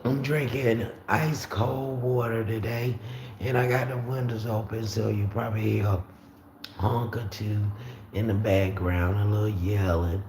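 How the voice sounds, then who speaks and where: A raspy-voiced man from Florida hosting a casual livestream, talking easily and reading out viewer comments. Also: lowish voice, steady tone, a little slow, a little mellow. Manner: rambling, easygoing, frequent fillers and restarts. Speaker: livestream host. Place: room at home with the windows open. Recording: roomy echo with faint street noise.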